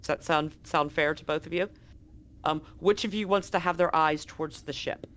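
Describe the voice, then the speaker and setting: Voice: medium pitch, normal speed, a bit bright. A game master narrating a live-streamed tabletop roleplaying game in an informal, conversational way, thinking aloud.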